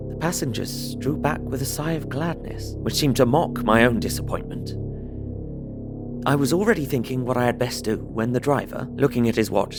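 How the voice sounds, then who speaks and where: A man plays an earnest young traveller reading his diary in an audio drama. His mid-pitched voice has a gravelly creak and a measured, apprehensive delivery, over clearly audible music. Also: lightly acted. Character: young English traveller, earnest, uneasy diarist. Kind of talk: dramatised first-person diary narration.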